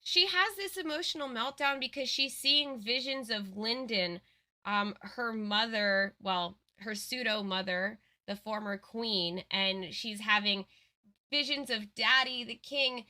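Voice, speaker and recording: Lady cohost chatting thru a fantasy story, explaining stuff, really bright highish voice. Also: unhurried. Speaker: co-host storyteller. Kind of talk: casual explanatory story chat.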